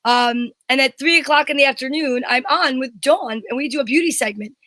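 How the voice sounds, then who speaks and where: Bright, high-voiced woman chatting live. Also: brisk, no echo. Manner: chatty, enthusiastic. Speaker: co-host of a live online variety show. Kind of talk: casual live chat.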